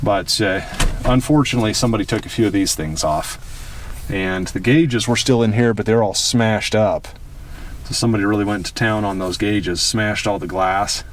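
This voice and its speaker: A man's voice: on the low side, normal speed. A man hosting a car restoration video, talking casually, plainly and with firm opinions as he works. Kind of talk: casual hands-on vlog commentary while working. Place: in or at a car being worked on. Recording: distant mic, noisy background.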